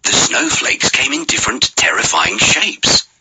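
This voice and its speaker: A man narrating a children's story in a dramatic, expressive, scripted storytelling manner. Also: lowish voice, measured pace, very noisy.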